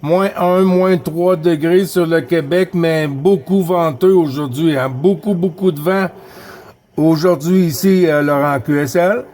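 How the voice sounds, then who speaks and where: A man with a Québécois accent chatting casually over CB radio, relaxed and friendly, repeating phrases with fillers. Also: on the high side, measured pace. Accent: Québécois French. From Quebec. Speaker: CB radio operator.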